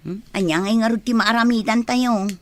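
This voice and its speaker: A woman's voice: fairly high pitch, normal speed, lightly acted. A woman acting a complaining, skeptical older sister in a village radio drama, with a Filipino accent. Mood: grumbling.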